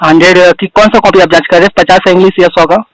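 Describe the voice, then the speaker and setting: A young man speaks on a recorded phone call in a high voice. The sound is dry and close. He is courteous yet keeps pressing, adding sir to nearly every phrase. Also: steady tone, very fast, no room sound. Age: young adult. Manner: polite but insistent, says sir constantly. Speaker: student answering a phone call. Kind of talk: phone conversation.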